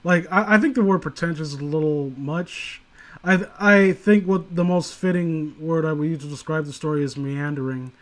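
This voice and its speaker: A man with a fairly high voice hosts a casual reading stream. He gives critical commentary in a meandering, stop-and-start way. His language is loose and occasionally profane. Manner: rambling, halting, profane. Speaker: host of a story reading stream. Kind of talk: casual critical chat on a livestream.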